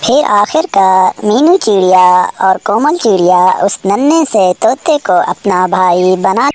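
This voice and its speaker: Woman storyteller, unhurried cartoon narration. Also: mid-range voice, lively intonation, a little mellow.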